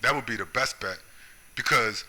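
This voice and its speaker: A man's voice: mid-range voice, moderate pace, sharp tone, poor audio. An American man delivering a confrontational, profane solo monologue, punctuating his points with "right?" and "you see?". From United States. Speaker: video commentator.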